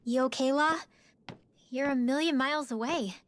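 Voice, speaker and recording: A woman's voice: high-pitched, moderate pace, close to an everyday voice. An actress playing a wary, spirited young female adventurer in a video game, casual and questioning. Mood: concerned. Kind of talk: video game cutscene acting. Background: music.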